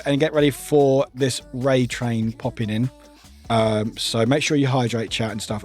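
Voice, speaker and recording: A streamer chatting casually with viewers, rambling and laughing often, voice a little croaky. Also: male voice, lowish voice. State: slightly croaky voice. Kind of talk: casual livestream chat.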